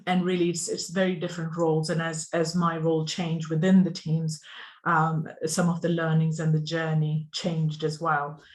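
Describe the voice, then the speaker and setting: A speaker from Pakistan gives an online talk about software teams over a video call, in an earnest, halting way with frequent ums and restarts. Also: mid-range voice, monotone. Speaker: software engineer giving a conference talk. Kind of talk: online presentation.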